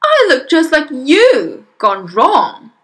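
Woman playing a yelling, blustering storybook father. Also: high voice, wildly swooping pitch, slow pace, voice pushed up, full character voice. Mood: horrified. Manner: yelling, indignant. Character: blustering, vain, panicking middle-aged father. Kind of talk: acted children's audiobook.

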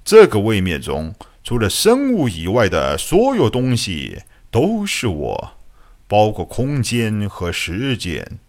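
A man voicing an ancient, calm and mysterious spirit of space in an audiobook, with a solemn, enigmatic manner. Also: lowish voice, slow, voice pushed down, full character voice.

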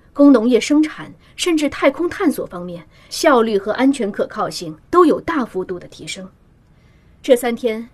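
Woman acting a composed, higher-voiced summit chair. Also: darkish tone. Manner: formal, measured, earnest. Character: composed female official chairing an emergency summit. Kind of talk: radio drama formal briefing.

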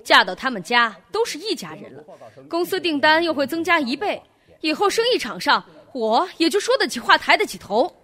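Woman as a scornful stepmother, high swooping voice, commanding, scolding, audio drama. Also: big pitch swings, brisk, pushed and bright, heavily acted. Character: domineering, mercenary stepmother, scornful and materialistic. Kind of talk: Chinese audio drama acting.

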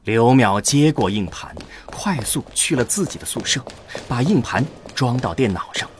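A man's voice: mid-range voice, expressive, normal speed, a little hiss or hum. A man narrating a scripted science-fiction radio drama.

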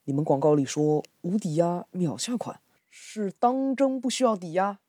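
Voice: mid-range voice, normal speed, dark tone, no echo, close to an everyday voice. A performer playing a worried father working in the city, grumbling and colloquial, in an amateur radio drama. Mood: doubtful, questioning. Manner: sighing, complaining, colloquial. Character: worried migrant father seeking a loan in the city.

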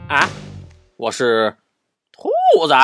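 A woman as a low-voiced, naive, lively rabbit. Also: slow, vocal fry, exaggerated voice. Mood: bewildered. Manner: wondering, hesitant questions, innocent. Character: naive, curious, puzzled young rabbit with big feet. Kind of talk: children's audio drama. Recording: music behind the voice.

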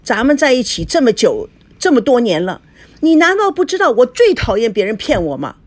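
A woman's voice: sits high, very animated, measured pace, voice pushed up, heavily acted. A woman voicing a jealous, hot-tempered wife in an audiobook drama, sarcastic, accusing and scolding. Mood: furious.

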